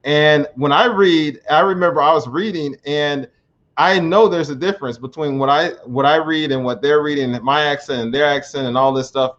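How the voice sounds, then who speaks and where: A man's voice: on the high side, normal speed, a bit bright. Earnest rambling American man on lo-fi stream. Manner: rambling, frequent restarts, earnest. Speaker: language learner vlogger. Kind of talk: casual live stream chat. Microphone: computer mic on live stream.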